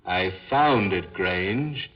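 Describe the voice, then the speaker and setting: An actor playing the taunting, deliberate ghost of a murdered man in a 1940s radio horror drama. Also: sits low, lively intonation, heavily acted. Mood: slow menace. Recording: muffled vintage 1940s radio recording, music behind.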